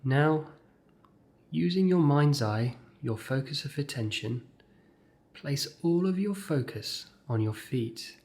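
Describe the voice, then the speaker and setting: A man leading a guided meditation as a scripted exercise, speaking in measured short phrases with gentle pauses. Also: fairly high pitch, expressive.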